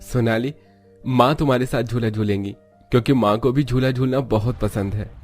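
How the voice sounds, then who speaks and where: A man's voice: mid-range voice, fairly even intonation, moderate pace, a little mellow, soft background music, close to an everyday voice. A man voicing a caring young village husband in a cartoon story. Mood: agreeable.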